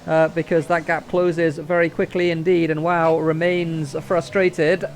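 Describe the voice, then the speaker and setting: A man giving live play-by-play commentary on an online racing league, his voice lifting whenever cars battle. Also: flat delivery. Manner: brisk play-by-play that lifts in pitch during battles. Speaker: race commentator.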